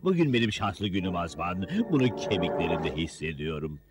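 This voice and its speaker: A man's voice: sits low, sing-song, moderate pace, heavy background noise. A man voicing a wicked, menacing cartoon wizard in a theatrical, spiteful manner. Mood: gloating. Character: wicked, ranting wizard villain who hates small blue creatures. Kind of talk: animated cartoon dub. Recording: loud music under the voice.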